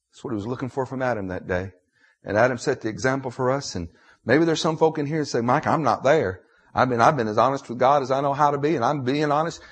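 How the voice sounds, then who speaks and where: Husky, low-voiced American preacher. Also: male voice. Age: 50s. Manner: dramatic, swinging between hushed confession and loud cries. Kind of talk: emotional sermon.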